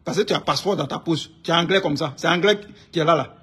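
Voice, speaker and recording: A man from Ivory Coast with an Ivorian accent and a husky voice, delivering an informal, indignant political rant, emphatic and repeating his phrases insistently. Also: fairly high pitch, fast, a bit bright. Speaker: online political commentator.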